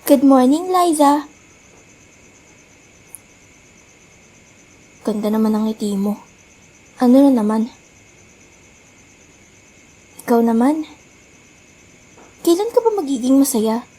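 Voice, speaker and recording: A woman playing a gentle, caring teenage girl in a radio drama, earnest and politely respectful. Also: high voice, expressive, normal speed, pauses often, slightly rough. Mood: chiding, cheerful. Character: gentle, caring, studious teenage twin sister. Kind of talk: dramatic radio play acting. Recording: music behind the voice.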